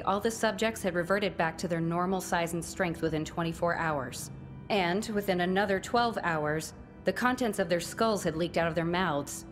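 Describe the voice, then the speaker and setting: A woman playing a dutiful underling in a video game, reporting in a formal, measured, deadpan way. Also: on the low side, natural voice. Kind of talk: scripted video game dialogue.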